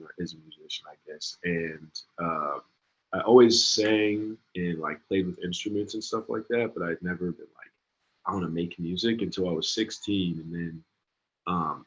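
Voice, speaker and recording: A man in his early twenties talks about himself as a guest in an easygoing interview, filling gaps with ums and likes. His voice is very deep, and the recording sounds dull and lo-fi. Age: 20s. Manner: laid-back, many ums and likes. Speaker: guest, singer. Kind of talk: casual interview.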